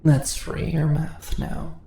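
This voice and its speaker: A woman voices a predatory spider-woman in a fantasy ASMR roleplay, very slow and creaky. She is dominant and seductive, toying with her prey in teasing purrs and whispers. The sound is roomy and echoing. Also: low voice, level tone, dark tone, full character voice. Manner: teasing, purring, slow, often dropping into whispers. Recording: roomy echo, faint background noise.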